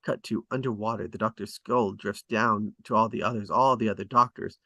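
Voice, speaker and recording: Low-voiced man reading stage directions, very dry sound. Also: steady tone, fairly quick, pushed and bright, dry recording. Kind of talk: scripted narration at a table read.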